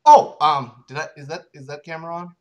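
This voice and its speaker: A man co-hosting a comedic reading of fan fiction, jokey and animated. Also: on the high side, fairly quick. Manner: animated, jokey, breaks into laughs.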